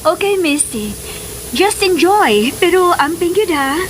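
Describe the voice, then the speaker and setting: Very high-voiced, gushing mother. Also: big pitch swings, slow, full character voice. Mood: affectionate. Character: affectionate, busy mother savoring secret romantic happiness. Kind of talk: radio drama acting. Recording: noisy, hissy radio drama audio.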